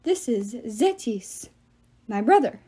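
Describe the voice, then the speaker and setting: A hulking, slow-witted teenage thug with wings, acted out by a female audiobook reader. He grunts in short, halting bursts, slow and proud of every simple word. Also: a little high, very animated, mellow, slightly rough, exaggerated voice. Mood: dim, eager. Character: huge dim-witted winged teenage brute, proud hockey thug. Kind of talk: audiobook character voice.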